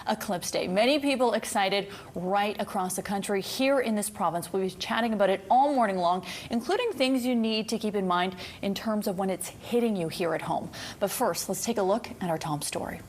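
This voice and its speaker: A woman's voice: mid-range voice, fairly quick, hardly any pauses, darkish tone, a little hiss or hum. A woman reading the news as a morning anchor.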